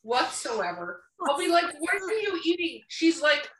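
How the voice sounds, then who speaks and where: A woman's voice: high voice, big pitch swings, unhurried, fairly bright tone, echoey room, recorded from a distance, slight background noise, low-quality recording. A woman chatting casually on a podcast in a blunt, teasing, profanity-heavy manner. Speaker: podcast co-host.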